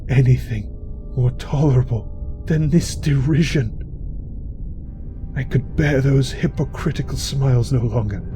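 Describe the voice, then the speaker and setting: Man acting a frantic, high-strung mad murderer over music. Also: a little slow, pauses often, close to an everyday voice. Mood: hysterical shouting. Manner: frantic, raving, shrieking. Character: mad, guilt-tormented murderer confessing in rising frenzy. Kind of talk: gothic horror monologue. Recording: loud music under the voice.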